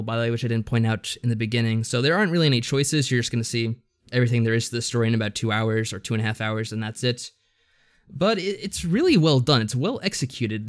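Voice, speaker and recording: A man co-hosting a podcast, giving a casual review in a rambling, slightly hesitant explanatory way. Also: medium pitch. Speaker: podcast co-host.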